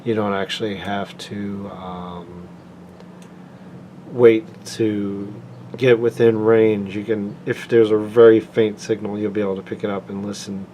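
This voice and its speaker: A man giving an informal, unscripted hands-on product review, explaining things plainly and haltingly. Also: fairly low pitch, flat delivery, warm tone, a little hiss or hum, poor audio.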